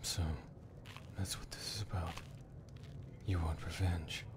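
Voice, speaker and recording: Very deep, flat, guarded man acted, noisy background. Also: slow, whispered. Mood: grim, suspicious. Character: guarded, wary male adventurer in trouble. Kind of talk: video game mod voice acting.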